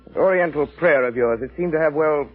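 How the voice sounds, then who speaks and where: An actor playing a composed, courteous gentleman detective in a 1930s radio drama, with polite, probing delivery. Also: on the high side, lightly acted. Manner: polite, measured questioning. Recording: tinny old radio recording, music behind.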